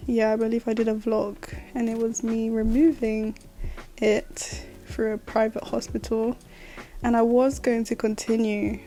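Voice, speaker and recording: A woman's voice: a little high, vocal fry, dark tone. A woman in her late twenties hosting her own podcast, talking casually and rambling with fillers. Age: 20s. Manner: chatty, rambling, with laughs and fillers. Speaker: podcast host. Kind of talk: casual solo podcast chat.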